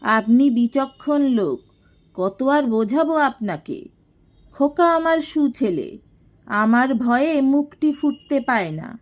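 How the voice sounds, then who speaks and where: A woman's voice: sits high, lively intonation, takes it slowly, voice pushed up. A woman voicing a haughty, scheming older matriarch in an audio story, imperious and sarcastic. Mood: sly, insinuating. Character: haughty, scheming, domineering elder matriarch of a declining household.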